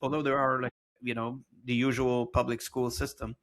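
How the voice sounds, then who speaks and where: A man's voice: medium pitch, little pitch movement, normal speed, a bit bright. Man hosting, searching for words. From Lebanon. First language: French. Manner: rambling, repeats words while searching. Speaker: podcast host. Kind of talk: casual interview chat.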